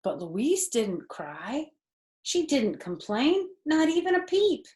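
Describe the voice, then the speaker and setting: Older lady reading a picture book to kids, slow, very up and down voice, explains stuff as she goes. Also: sits high. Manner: expressive, explanatory. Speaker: storyteller. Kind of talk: children's storybook read-aloud with asides.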